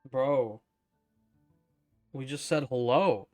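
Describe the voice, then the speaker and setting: A man's voice: mid-range voice, extremely slow, a little mellow, soft background music. Sardonic man doing casual game commentary. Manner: sardonic, dry quips back at the game. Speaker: gaming video host.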